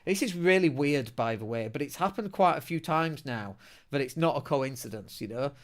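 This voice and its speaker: A man's voice: medium pitch, animated, normal speed. A middle-aged man with a Leeds accent hosting a solo podcast in a chatty, blunt way, with self-mocking humour. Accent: Northern English, Leeds. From England. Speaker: podcast host. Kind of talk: casual solo podcast talk.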